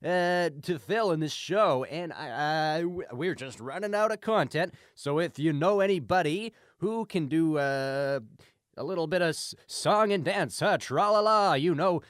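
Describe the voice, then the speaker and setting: Man acting as folksy radio announcer, fairly high voice, dry close sound, flustered, stammering, rambling, improvised comedy. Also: a little slow, smooth, no room sound, natural voice. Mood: flustered, affable. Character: folksy, flustered small-station radio announcer filling airtime.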